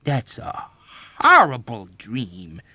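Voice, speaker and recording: A man's voice: mid-range voice, lively intonation, takes it slowly, mellow, no echo. A man voicing a belligerent, easily offended army major in an audiobook, indignant and accusatory. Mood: wounded indignation. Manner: indignant, accusatory, badgering, emphatic. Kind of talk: audiobook character acting.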